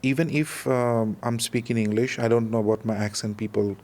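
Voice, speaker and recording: A man's voice: medium pitch, steady tone, normal speed, a little mellow. A young man with an Indian accent, chatting casually as an interview guest, hesitant with many restarts and easy laughs. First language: not Hindi, another Indian language. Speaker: guest, expat in Russia.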